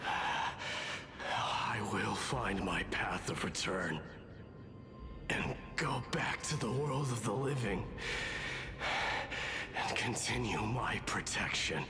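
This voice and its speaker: A man playing a grim, battle-worn undying warrior lord in a video game, speaking in a solemn, halting way. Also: lowish voice, lively intonation, pushed and bright, heavily acted. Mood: grim, resolute. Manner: slow, halting, solemn delivery. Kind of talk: dramatic video game voice acting.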